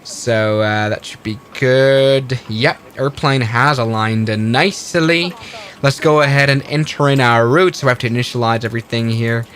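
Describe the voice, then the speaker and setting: A man's voice: mid-range voice, normal speed, fairly bright tone, slight background noise. Man, flight sim streamer, casual live commentary, narrates each step, self-correcting.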